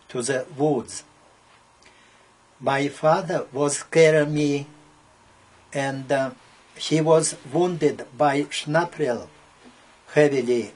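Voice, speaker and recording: An elderly man with an Eastern European accent gives a personal oral history. His voice is raspy and worn, at a middle pitch. He speaks slowly in English that is not his first language, pausing often between short, broken phrases. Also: a little mellow, rough recording. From Poland (now Belarus). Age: 70s. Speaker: Holocaust survivor giving testimony.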